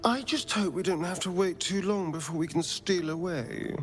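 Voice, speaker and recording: An actor playing a flirtatious, self-indulgent vampire rogue in a video game, with an arch, theatrical drawl. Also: male voice, sits high, moderate pace, faint music behind, natural voice. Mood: seductive. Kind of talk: video game character acting.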